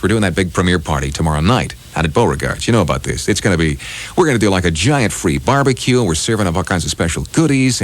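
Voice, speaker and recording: A man hosting morning radio with upbeat, folksy, joking patter. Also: sits low. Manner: chatty, folksy, jokey. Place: radio studio. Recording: 1980s radio aircheck over music. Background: music.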